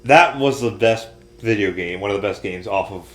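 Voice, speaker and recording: A man's voice: lowish voice, moderate pace, a bit bright. A man talking casually as a podcast co-host, chatting about entertainment. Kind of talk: casual chat about games and shows. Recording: roomy, echoey room.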